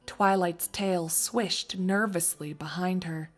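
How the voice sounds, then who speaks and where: A woman reading scripted story narration in an expressive, wry storytelling manner. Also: lowish voice, slow pace, soft background music. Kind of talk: scripted fan fiction narration.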